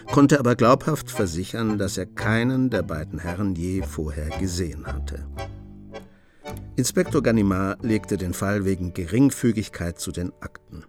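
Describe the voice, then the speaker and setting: A man narrating a radio play in a measured storytelling tone. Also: fairly low pitch.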